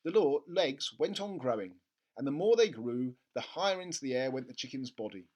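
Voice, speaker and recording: A storyteller reading a children's book aloud in an expressive narrating style. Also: mid-range voice, moderate pace, muffled recording. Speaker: storybook reader.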